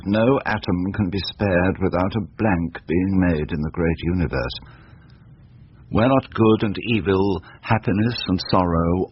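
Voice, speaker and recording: A man with an English accent narrating a story in a measured, literary, dramatic style. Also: low-pitched, slight background noise. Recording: muffled, faint music behind.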